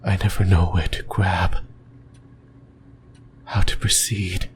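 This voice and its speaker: A man playing an obsessive, lonely sleep paralysis demon in a breathy, intimate whisper for an ASMR horror roleplay, hesitant and rambling. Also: sits low, flat delivery, slow pace, slight background noise. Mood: anxious rambling.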